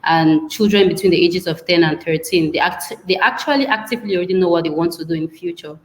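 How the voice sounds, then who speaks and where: A woman from Cameroon, a tech mentor explaining her views at a measured pace with frequent pauses on a video call panel. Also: on the low side. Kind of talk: panel discussion.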